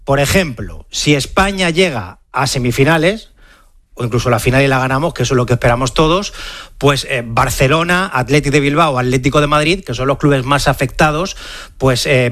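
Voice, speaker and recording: A man's voice: medium pitch, fairly bright tone. A man with a Spain Spanish accent explaining sports news in a live radio report.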